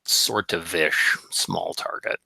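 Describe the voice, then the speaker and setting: A man's voice: low-pitched, takes it slowly, creaky, pushed and bright, dry recording, poor audio. A Canadian man co-hosting a podcast, chatting casually with wry, sarcastic jokes. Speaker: podcast co-host. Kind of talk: casual banter about shooting.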